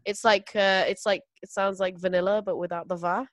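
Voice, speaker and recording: A woman's voice: medium pitch, fairly even intonation, brisk, dry recording, clean studio sound. A woman hosting a podcast in casual chat, talking with loose restarts and frequent laughs, with a slight hay fever cough. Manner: chatty, laughs often, trails off. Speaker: podcast host.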